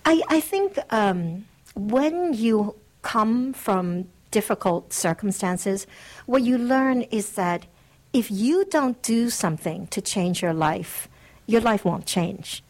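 Reflective woman guest, earnest. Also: medium pitch. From United States. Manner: earnest, reflective, laughs lightly. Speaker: guest author. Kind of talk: reflective interview answers.

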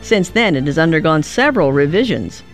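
Volunteer reader with lively pitch. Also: a little fast, faint music behind. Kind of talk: scripted narration.

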